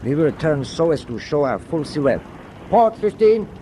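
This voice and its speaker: An actor playing a wary German tanker captain in a wartime radio drama, authoritative and given to clipped orders. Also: male voice, fairly high pitch, sing-song, normal speed, warm tone, a little hiss or hum. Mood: tense, brooding.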